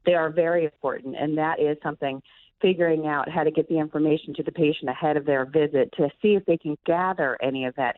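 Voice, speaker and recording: A woman's voice: deep voice, dry recording. A thin, phone-like line carries a woman's voice with hardly any room sound. She is a physician and medical director answering interview questions in an explanatory way.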